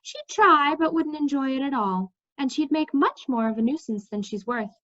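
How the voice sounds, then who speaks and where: A woman's voice: high voice, expressive, moderate pace, fairly bright tone, pitched above the performer's own voice. A woman voicing a practical, determined young woman from a storybook in a matter-of-fact manner. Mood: frank. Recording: slightly dull, lo-fi sound.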